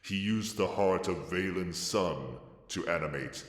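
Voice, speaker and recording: A man acting as a solemn, ancient narrator of legend in a video game, grave and stately with a very deep, gravelly voice. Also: a little slow, echoey room, long reverb tail. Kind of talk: scripted epic video game cutscene narration.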